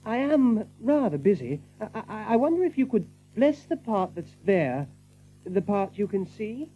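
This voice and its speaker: Storybook rabbit prince, voiced by a man: nervous, courteous, stammering, higher-pitched, on a thin humming recording. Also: sing-song, a little slow, dark tone, faint music behind. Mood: nervous, evasive. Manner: stammering, throat-clearing. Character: flustered, timid male rabbit prince, nervously polite. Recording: tinny narrow-band audio with hum.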